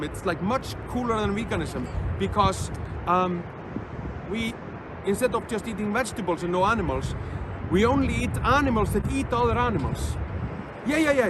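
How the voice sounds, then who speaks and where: A man from Iceland with an Icelandic accent, telling jokes in a playful, deadpan way as a troubadour. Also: high voice, noisy background. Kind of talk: comic storytelling.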